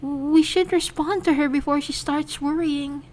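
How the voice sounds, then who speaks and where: A woman voicing a shy, gentle young woman in a fan story reading who talks softly and stammers. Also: high voice, fairly even intonation, unhurried, pitched above the performer's own voice. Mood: bright. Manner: soft, hesitant, stammering.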